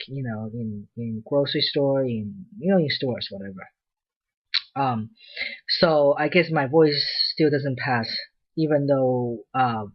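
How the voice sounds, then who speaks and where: A man giving a casual personal video update, talking hesitantly with many pauses, ums and restarts. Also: medium pitch, husky, highs cut off. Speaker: vlogger.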